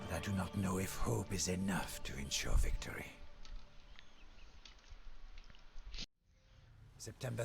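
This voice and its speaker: A man playing a battle-weary French soldier who narrates a video game's storybook journal in a solemn, theatrical, measured way. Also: fairly low pitch, vocal fry, full character voice. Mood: grave foreboding. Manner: solemn, theatrical, measured delivery with hushed phrase endings.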